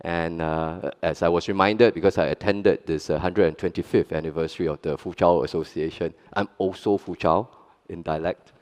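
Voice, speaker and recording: A middle-aged Singaporean man with a low, deep voice and a Singaporean English accent, speaking on a conference panel. His first language is Hokkien, and he talks in an earnest, plain-spoken way, restarting often. His voice carries with a long reverb through a large hall. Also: steady tone, moderate pace, a little mellow.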